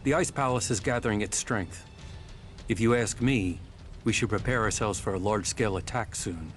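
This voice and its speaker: A man playing a wary, proud rebel soldier in a video game, speaking in a measured, formal and distrustful way. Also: fairly low pitch, lightly acted. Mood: guarded, matter-of-fact. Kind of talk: video game cutscene acting. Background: music.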